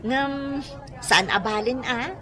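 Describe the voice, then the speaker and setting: A woman's voice: high voice, takes it slowly, a bit bright. A woman acting a cheerful, vain customer proud of her looks in an Ilocano radio comedy drama, with an Ilocano accent. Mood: breezy, boastful.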